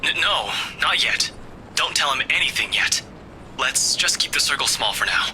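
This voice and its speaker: A man voicing a blunt, grim young man who breaks bad news haltingly, in a visual novel game. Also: on the high side, lively intonation, sharp tone, slight background noise, low-quality recording. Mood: urgent, guarded. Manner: hesitant, halting, terse.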